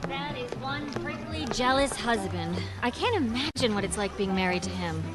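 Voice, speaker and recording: A woman's voice: a little high, animated, moderate pace, lightly acted. An actress playing a vivacious, witty socialite woman in a radio mystery drama. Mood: wry. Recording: music behind the dialogue.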